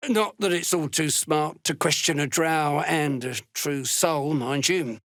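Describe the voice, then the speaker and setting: An actor voicing a gruff, callous duergar slave hunter in a video game, blunt and threatening, with a gravelly voice. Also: male voice, fairly high pitch, a little slow, a bit bright, dry recording, studio quality. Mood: gruff, scornful. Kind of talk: video game character voice lines.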